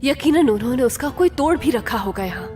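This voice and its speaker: Cartoon young heroine played by a woman: clever, coaxing, high-pitched and animated. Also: a little fast, soft background music, close to an everyday voice. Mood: earnest. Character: quick-witted, urgent young heroine. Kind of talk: animated cartoon dub acting.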